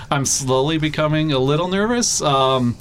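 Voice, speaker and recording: A man's voice: on the high side, unhurried, slight background noise. Chatty man forecaster, laughing easily. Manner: chatty, many fillers and laughs. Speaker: weather forecaster guest. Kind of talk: casual interview chat.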